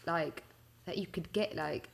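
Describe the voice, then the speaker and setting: A woman's voice: fairly low pitch, measured pace, creaky, slight background noise. A young woman with a London accent chatting casually as an interview guest, telling stories in an informal, slangy, giggly way. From West London, UK. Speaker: singer-songwriter interview guest. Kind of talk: casual chat.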